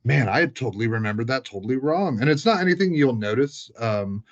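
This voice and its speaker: A man's voice: medium pitch, expressive, a little fast, lo-fi sound. A man co-hosting a podcast, talking casually in a rambling, self-correcting way. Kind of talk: casual chat.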